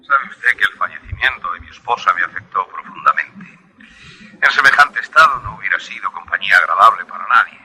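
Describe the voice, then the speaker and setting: An actor in a radio drama playing a grave, insistent old professor with a raspy voice, heard over a telephone. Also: male voice, on the low side, expressive, brisk, sharp tone, heavily acted, rough recording.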